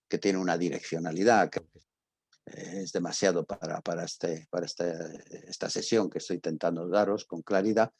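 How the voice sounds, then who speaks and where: A man's voice: fairly low pitch, a little mellow, husky, dry recording. A man giving an informal explanatory lesson as a teacher, in a didactic manner, rephrasing as he goes with lists and examples. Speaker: drama teacher and academic.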